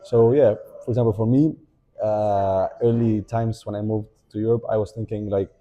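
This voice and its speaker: A young man with an Argentine Spanish accent, Spanish as his first language, talking as a podcast guest in a thoughtful way, pausing to think and often restarting his sentences. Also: on the low side, steady tone, warm tone, lo-fi sound. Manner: reflective, thinking aloud with many fillers and restarts. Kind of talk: casual podcast conversation.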